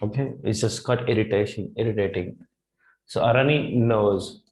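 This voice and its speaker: A man in his thirties with an Indian English accent, teaching vocabulary at a whiteboard. He repeats phrases slowly and keeps checking in with "right?". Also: on the low side, a little mellow, a little hiss or hum. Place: classroom in front of a whiteboard. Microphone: lapel mic. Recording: echoey classroom.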